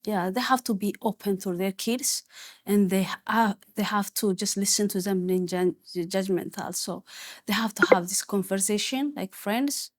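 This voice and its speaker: A woman's voice: medium pitch, normal speed. A mother from Ethiopia or Eritrea, speaking hesitantly with many fillers in a community discussion. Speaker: parent.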